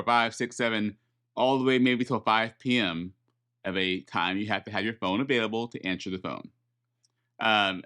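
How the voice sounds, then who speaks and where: A man with a fairly low voice explains procedures in a casual, conversational way. He goes step by step through detailed examples, often breaking off and rephrasing as an airline pilot talking off the cuff. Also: a bit bright. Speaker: airline pilot, first officer.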